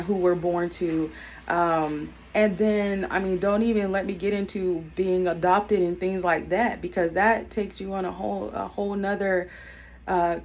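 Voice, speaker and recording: A woman in her thirties talking unscripted to camera, earnest and reflective, with many pauses and filler words as she gives life advice. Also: mid-range voice, darkish tone, slight background noise. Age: 30s. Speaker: YouTube self-help vlogger. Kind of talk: unscripted personal talk to camera.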